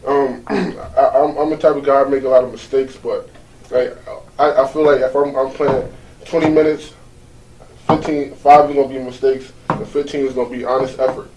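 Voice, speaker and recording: A young man who plays college basketball and was born in Jamaica, speaking casually and a little softly. The sound is roomy and distant from the microphone, with faint ambience behind him. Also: mid-range voice, level tone, moderate pace, background noise. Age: young adult. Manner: casual, many "like" fillers, soft-spoken.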